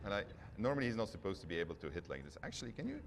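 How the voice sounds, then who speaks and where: A man's voice: lowish voice, sing-song, very fast, noisy background. A man presenting live gameplay, thinking aloud in a hesitant, self-deprecating, wryly understated way. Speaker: game developer presenting a live gameplay demo. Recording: game music behind the voice. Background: video game music.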